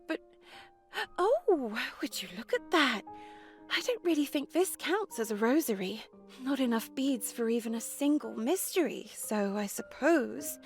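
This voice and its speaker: Timid, high-voiced young novice nun. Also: female voice. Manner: hesitant, soft, stammering. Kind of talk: visual novel voice acting.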